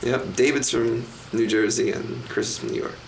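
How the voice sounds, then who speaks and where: A man talks loudly and in a loose, rambling way, starting over often as he chats as a guest on a live-streamed show. His voice sits in a middle pitch. A faint hiss of noise runs under the recording. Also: fairly even intonation, moderate pace, vocal fry, low-quality recording. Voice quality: loud, rambling with many restarts. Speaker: guest, magician. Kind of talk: casual interview chat.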